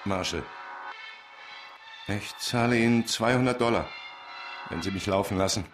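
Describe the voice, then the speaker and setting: A man's voice: lowish voice, moderate pace, recorded from a distance, natural voice. Man playing anxious, gullible fellow over music. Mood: pleading. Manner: anxious, pleading. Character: naive, nervous, pious undertaker's helper, pleading and gullible. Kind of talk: German radio crime drama acting.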